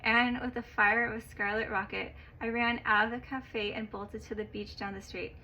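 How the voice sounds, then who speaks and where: A woman narrating a personal story in an expressive way, getting louder at the exciting parts. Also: a little high, normal speed, lo-fi sound, a little hiss or hum.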